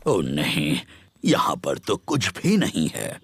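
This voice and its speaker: Hearty, very animated daddy pig. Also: male voice, rumbling low, takes it slowly, slight background noise, full character voice. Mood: amazed. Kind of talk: children's cartoon dubbing.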